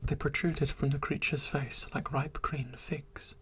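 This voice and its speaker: Breathy whispered story narrator. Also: medium pitch, unhurried. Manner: soft steady whisper.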